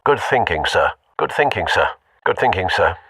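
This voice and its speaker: A dutiful older butler for a video game. The voice is low and husky yet bright-edged, moving lively through formal, sardonic remarks. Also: male voice, normal speed, dry recording, studio quality, distant mic, exaggerated voice. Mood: approving. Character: dry-witted, dutiful older male butler and confidant.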